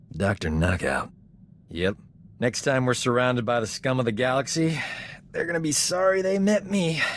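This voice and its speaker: An actor playing a cocky, flirtatious medic in a video game, smooth-talking and boastful, with a theatrical way of talking. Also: male voice, on the high side, a little hiss or hum, lightly acted. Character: cocky, flirtatious male medic, self-promoting charming rogue. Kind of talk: video game character dialogue.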